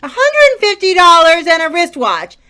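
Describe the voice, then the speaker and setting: A woman playing an earnest police inspector, brisk and emphatic, in an old-time radio crime drama. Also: very high voice, wildly swooping pitch, pushed and bright, exaggerated voice. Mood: earnest, businesslike. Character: earnest police inspector and pleading robbery victim.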